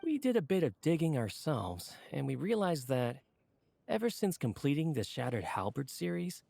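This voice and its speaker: A man playing a courteous, earnest book editor in a video game, explaining things in a measured, formal way. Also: a little high, big pitch swings, vocal fry, dark tone, no echo, full character voice. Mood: earnest, explanatory. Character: polite, earnest, wordy male book editor. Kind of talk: video game character dialogue.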